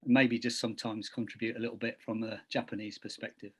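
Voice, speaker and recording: A man's voice: on the low side, level tone, fast talker, slightly rough. Hesitant man on a video call. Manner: hesitant, repeats words, self-effacing. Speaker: university English teacher. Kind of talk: casual video call introduction.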